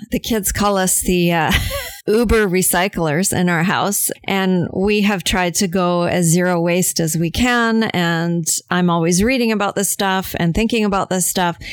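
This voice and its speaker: A woman's voice: medium pitch, clean tone, no room sound. A woman from Canada talking casually as a podcast guest, chatty and self-deprecating, laughing easily. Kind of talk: casual chat.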